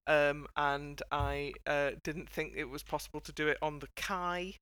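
Woman podcast host, bright smooth voice, dry close sound, wry and chatty. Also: low voice, fairly even intonation, unhurried, dry recording. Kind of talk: casual discussion of a TV dance show.

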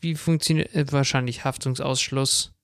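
A man's voice: mid-range voice, fairly even intonation, moderate pace, fairly bright tone, no room sound, clean studio sound. A man co-hosting a podcast, chatting informally and flippantly with English slang thrown in. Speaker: podcast co-host. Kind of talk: casual chat.

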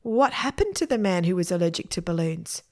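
A woman's voice: fairly low pitch, very animated, a little fast. A woman voicing a curious, argumentative young boy in a storybook, full of insistent questions. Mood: incredulous.

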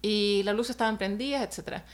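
A woman's voice: medium pitch, quick pace. A woman, an illustrator telling a personal story in a casual chat, hesitant with many fillers.

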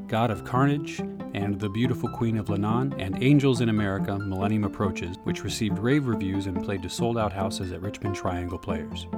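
A man reading a scripted introduction as an announcer, in a formal narrating style. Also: on the low side. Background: plucked guitar music.

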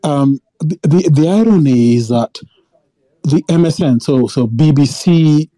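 A man's voice: on the high side, unhurried, dark tone, dry recording, rough recording. A man calling in to a talk show, who speaks with passion and stumbles over many repeated words and restarts. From Africa. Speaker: caller. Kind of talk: impassioned phone-in opinion.

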